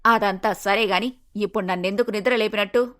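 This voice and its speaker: A woman's voice: medium pitch, steady tone, brisk, a bit bright, dry recording, clean studio sound, natural voice. A woman playing a vain, touchy middle-aged mother-in-law in a cartoon moral story, querulous and full of questions. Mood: puzzled, conspiratorial.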